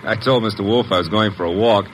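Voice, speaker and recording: An actor playing a breezy, wisecracking detective's sidekick, flippant and streetwise, in old-time radio drama style. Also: male voice, fairly low pitch, fast, lightly acted. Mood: wry, flippant. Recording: slightly noisy old radio recording.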